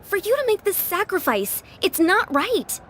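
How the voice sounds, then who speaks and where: A woman's voice: very high voice, big pitch swings, measured pace, a bit bright, a little hiss or hum, full character voice. An actress playing a young, earnest, worried female follower in a video game. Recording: music behind the voice.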